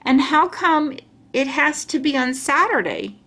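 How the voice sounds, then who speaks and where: A woman's voice: high voice, big pitch swings, unhurried, sharp tone, voice pushed up, exaggerated voice. A woman voicing a stubborn, dramatic little girl from a storybook, with childish, insistent phrasing. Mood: curious, insistent. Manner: childish grammar, emphatic and insistent. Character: stubborn, dramatic little girl narrating her own life.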